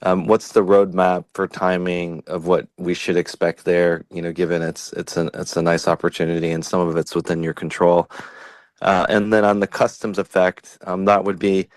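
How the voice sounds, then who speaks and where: A man asking questions as a financial analyst on an earnings call, halting and rephrasing as he goes. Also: low voice, little pitch movement, no room sound.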